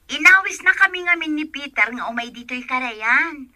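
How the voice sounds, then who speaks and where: Woman as piercingly bright young countrywoman. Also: high voice, animated, normal speed, faint music behind, exaggerated voice. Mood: friendly. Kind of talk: radio drama acting.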